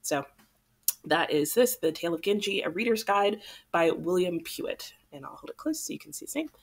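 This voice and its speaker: A woman's voice: on the low side, brisk. Chatty young woman, a little sick. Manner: chatty, relaxed, rambling. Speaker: book channel host. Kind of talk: casual chat to camera.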